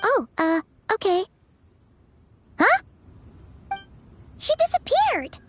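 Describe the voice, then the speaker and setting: A woman voicing a small, chatty, easily spooked fairy-like girl companion in a video game, with a childlike squeaky voice. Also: huge pitch swings, very slow, a bit bright, slight background noise, full character voice. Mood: hesitant, then baffled. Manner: refers to self in third person, exclamatory. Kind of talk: animated video game dialogue. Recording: music behind the voice.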